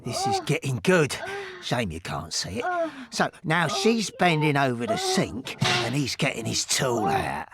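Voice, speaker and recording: An actor playing a crude, chatty male bomb-maker with drawn-out delivery in a scripted game cutscene. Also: medium pitch, huge pitch swings, over a noisy crowd. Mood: gleeful. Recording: noisy background ambience.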